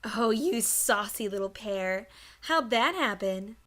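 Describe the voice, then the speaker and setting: A woman's voice: mid-range voice, animated, slow, a bit bright. A woman voicing a playful, gossipy pony who talks in a coy, teasing way in a story reading. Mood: amused, coy. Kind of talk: audiobook character acting.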